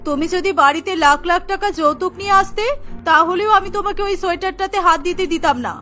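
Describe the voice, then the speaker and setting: A woman's voice: extremely high pitch, steady tone, quick pace, pushed and bright, soft background music. A woman voicing a stern middle-aged mother-in-law in a cartoon, scolding and indignant. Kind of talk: Bengali cartoon voice acting.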